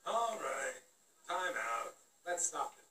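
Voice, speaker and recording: A man playing a crude, lecherous, wisecracking ventriloquist's dummy in a TV series, brash and gravelly. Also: on the high side, very animated, slow pace. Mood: heckling. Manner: brash, heckling. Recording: echoey, distant TV show playback, audience noise.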